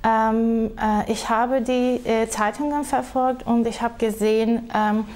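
A woman's voice: a little high, steady tone, measured pace, darkish tone. A middle-aged woman from Turkey, speaking German with a Turkish accent as a social sciences professor, hesitant, with many fillers and restarts. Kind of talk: interview statement.

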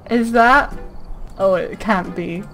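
A woman's voice: mid-range voice, slow pace, a bit bright. A young Scottish-accented woman in casual, playful banter with a friend during an online game. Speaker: online content creator and gamer.

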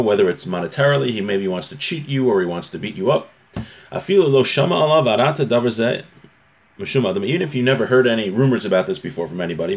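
A man in his thirties with an American accent, giving an informal religious lesson in an explanatory, conversational manner. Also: mid-range voice. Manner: explanatory, conversational, switching between recitation and plain paraphrase. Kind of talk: informal lesson, reading Hebrew text aloud and explaining it. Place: small room at home, indoors.

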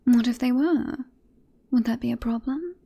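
A woman playing a teasing, self-assured queen in a romantic fantasy ASMR roleplay, with breathy, formal courtly phrasing. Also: fairly high pitch, normal speed, mellow.

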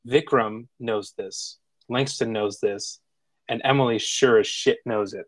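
A man's voice: medium pitch, unhurried, no echo. A man reading out the action lines of a film script as narrator at a table read.

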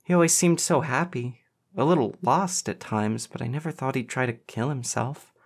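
Man voicing an earnest teenage girl, pitched up. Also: normal speed, natural voice. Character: earnest, expressive teenage girl, caring and eager. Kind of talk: audiobook character dialogue.

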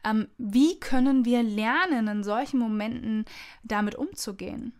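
A woman's voice: fairly high pitch, measured pace, a little mellow. A woman hosting a podcast interview, speaking in a conversational way. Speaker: podcast host.

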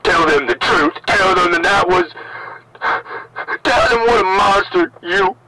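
A man's voice: sits high, measured pace. A man playing a distraught, furious father in a found-footage horror monologue, bitter and accusing, on a crackly old cassette tape.

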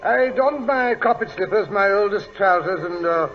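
An actor playing a genial, bumbling British doctor sidekick in a chatty, hesitant manner, in 1940s radio style. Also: male voice, sits high, lively intonation, moderate pace, soft background music. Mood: reminiscing. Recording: dull old radio broadcast recording.

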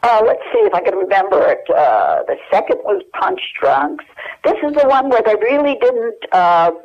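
A woman's voice: on the high side, big pitch swings, normal speed. A woman in her eighties, speaking as an interview guest by telephone, hesitant and searching her memory with many fillers. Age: 80s. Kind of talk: phone interview. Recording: muffled telephone line.

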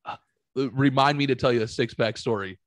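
A man in his late thirties hosting a podcast from a home studio, talking bluntly with plenty of swearing into a boom-arm mic. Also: brisk, no echo. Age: 30s. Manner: blunt and profane, punchy retorts. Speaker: podcast host. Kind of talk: casual podcast chat. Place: home studio room, indoors. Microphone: studio mic on boom arm, headphones.

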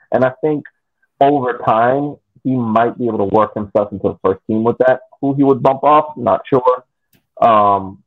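A man giving casual sports analysis in measured, halting phrases over a video call. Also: on the low side, no room sound. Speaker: sports podcast panelist. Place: indoor room with wooden headboard. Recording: thin phone-like call audio.

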